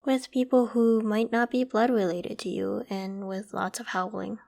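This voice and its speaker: A woman's voice: mid-range voice, level tone, moderate pace, gravelly, natural voice. A woman voicing a friendly, self-conscious young half-human werewolf who talks casually and protectively to the listener in an ASMR roleplay. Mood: reassuring, explaining. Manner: casual, conversational, gently protective. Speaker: voice actor in audio roleplay.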